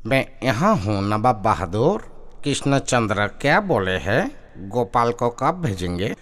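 An actor playing a smug, obliging courtier in a folk-tale cartoon, speaking theatrically. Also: male voice, medium pitch, big pitch swings, a little slow, fairly bright tone. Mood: pleased. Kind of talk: Hindi cartoon voice acting.

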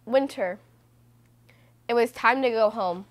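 A woman reading a children's book aloud as host, in a measured, deliberate way with stressed phrases. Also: a little high. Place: small room indoors.

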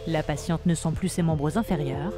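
Woman narrator, lowish voice, measured documentary read, music behind. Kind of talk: scripted documentary voice-over.

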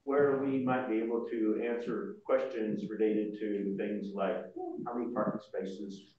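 An older man presenting informally at a board meeting, explaining things in a halting way. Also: fairly low pitch, little pitch movement, a little mellow, slight background noise. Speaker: association board member presenting. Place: large indoor meeting room. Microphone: far room microphone. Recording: muffled, very echoey room, distant mic.

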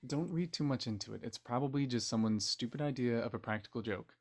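A man's voice: mid-range voice, fast, close to an everyday voice. A young man playing a kind, hesitant scriptwriter in an audio drama. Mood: reassuring, awkward. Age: young adult. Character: kind, awkward, reassuring young male scriptwriter.

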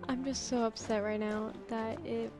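A woman giving casual, halting, exasperated commentary as host of a gaming video. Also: on the high side. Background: video game music.

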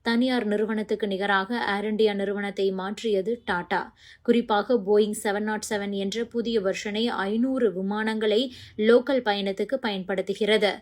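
A woman's voice: medium pitch, fairly even intonation, a little slow. A woman reading scripted news narration as a news narrator.

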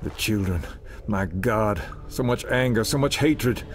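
Man as a steady soldier over music. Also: on the low side, unhurried, natural voice. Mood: grave. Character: grave, protective male special forces squad member. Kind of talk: dramatic video game acting.

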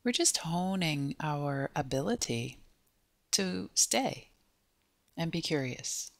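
Slow, gentle woman guiding meditation. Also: low voice, big pitch swings, gravelly, dry recording. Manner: gentle, unhurried, pausing between short phrases. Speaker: meditation guide. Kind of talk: guided body scan meditation.

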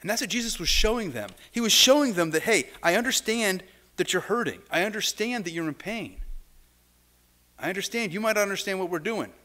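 A man's voice: sits high, pushed and bright. A middle-aged man preaching a sermon from a lectern in a church sanctuary, talking conversationally with restarts and asides, heard from a camera some way off. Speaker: pastor. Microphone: far camera.

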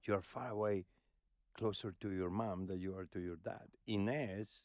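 A man in his fifties hosting a podcast and teasing his family in casual conversation, recorded on a boom mic in a cozy living-room studio. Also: fairly even intonation, moderate pace, darkish tone, no echo. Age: 50s. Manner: teasing, playful questioner. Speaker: podcast host. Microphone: boom-arm studio mic.

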